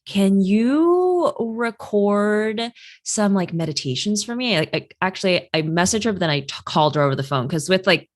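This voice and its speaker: A woman whose first language is Chinese, hosting a casual interview and telling stories in an animated way, with many "like" fillers. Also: mid-range voice, normal speed. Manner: animated storyteller, quotes people in lively voices. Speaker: host, career astrologer.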